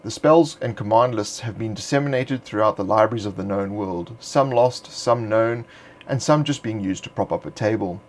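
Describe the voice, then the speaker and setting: A man narrating a script in a measured, deliberate reading voice. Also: lowish voice. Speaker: narrator of a game supplement.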